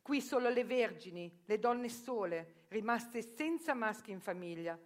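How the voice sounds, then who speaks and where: A woman voicing a proud, tough sixty-six-year-old woman who lives as a man, answering firmly in a raspy voice. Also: fairly high pitch, natural voice. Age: 60s. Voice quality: raspy, like a heavy smoker. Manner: firm, proud, emphatic answers.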